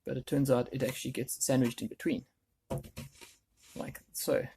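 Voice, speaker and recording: A man with a gravelly voice explaining a woodworking job casually, thinking aloud as he works. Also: medium pitch, flat delivery, normal speed, noisy background. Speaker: DIY woodworking video host. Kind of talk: casual hands-on tutorial talk.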